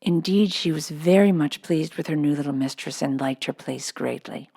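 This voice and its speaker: A woman's voice: deep voice, moderate pace, vocal fry, mellow, husky. A woman reading a children's storybook aloud as scripted narration. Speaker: audiobook reader.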